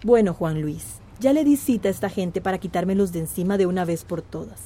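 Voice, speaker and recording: An actress playing a brisk, self-serving small-town mayor in a radio drama, with a commanding, businesslike manner. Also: female voice, on the low side, warm tone, lightly acted. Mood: stern, impatient.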